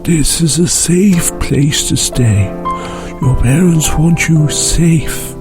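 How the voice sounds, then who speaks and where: A man voicing a kind, sad old wizard who speaks gently and patiently, in an amateur audio drama. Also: a little high, slow pace. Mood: gentle. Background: music.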